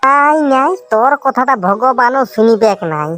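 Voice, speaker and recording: An actor voicing a penniless, boastful village bachelor in a comedy cartoon, grumbling with comic exaggeration in a rural Purulia Bengali accent. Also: male voice, very high-pitched, normal speed, fairly bright tone. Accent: rural Purulia, West Bengal. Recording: music behind the voice.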